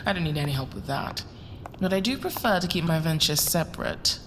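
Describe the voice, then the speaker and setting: Reverberant, low-voiced, polished wealthy woman played by an actress. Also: animated, a little fast. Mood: guarded, polite. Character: wary, wealthy woman running secret smuggling ventures. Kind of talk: scripted audio drama acting. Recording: long reverb, clear rumbling background noise.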